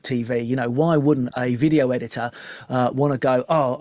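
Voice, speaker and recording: Guy being interviewed, gravelly fry in his voice, dry close sound, explains stuff w/ pauses and repeats for emphasis. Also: medium pitch, darkish tone, no echo. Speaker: guest, composer and music producer.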